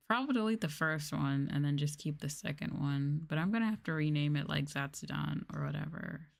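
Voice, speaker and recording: A woman's voice: low-pitched, creaky. A sleepy woman chatting casually and informally as a livestreamer, with a drowsy manner and sighs. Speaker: livestreamer, VTuber. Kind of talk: sleepy casual chat on an ASMR livestream, reading and answering viewers.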